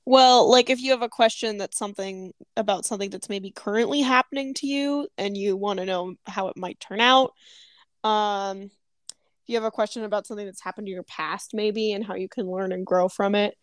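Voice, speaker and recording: A woman's voice: medium pitch, brisk, dry recording, studio quality. A woman co-hosting a casual live audio chat as a tarot reader, chatty and giggly. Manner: chatty, giggly, self-conscious.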